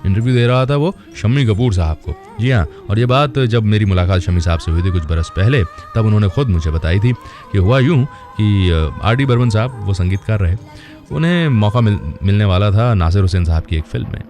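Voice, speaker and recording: A man's voice: lowish voice, expressive, a little fast, darkish tone, faint music behind. A man hosting a radio show in a conversational storytelling style, introducing old film songs and acting out quoted dialogue.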